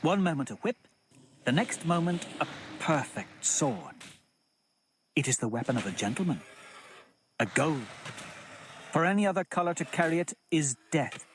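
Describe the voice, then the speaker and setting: A man voicing a prim, fastidious tutor of manners who scolds precisely and fussily. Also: fairly high pitch, sing-song, slight background noise, pitched above the performer's own voice. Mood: lecturing.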